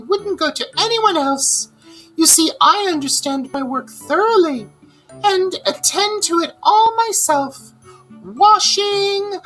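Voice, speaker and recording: Woman doing very shrill, theatrical fake washerwoman toad. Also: pushed and bright, exaggerated voice, low-quality recording. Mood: airy boasting. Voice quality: very shrill falsetto. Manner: theatrical, wheedling, gushing. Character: boastful male toad faking a plaintive old washerwoman. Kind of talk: children's storybook read-aloud.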